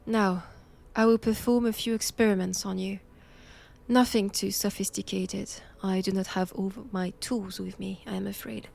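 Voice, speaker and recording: A woman with a French accent playing a stern, cold vampire-hunting nun in an intimate audio roleplay, measured and commanding. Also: medium pitch, little pitch movement, gravelly, a little mellow. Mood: clinical, controlled.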